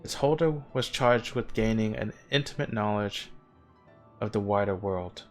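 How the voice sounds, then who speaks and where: An actor playing the courteous, wistful spirit of an ancient man in a video game cutscene, speaking in formal, measured, gently wry phrases. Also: fairly low pitch, natural voice. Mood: warm reverence. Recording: game dialogue with music underneath. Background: background music.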